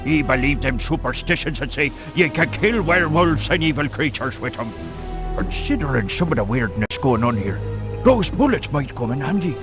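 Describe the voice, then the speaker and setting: A man's voice: medium pitch, animated, moderate pace, lightly acted. An actor playing a bereaved, middle-aged working-class coastal man with a folksy, rambling way of talking in a video game. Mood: wary, helpful. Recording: game audio under clear music.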